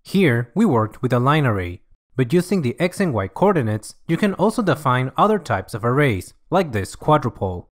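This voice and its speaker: A man reading scripted software tutorial narration in an instructional, measured way. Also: medium pitch, sing-song.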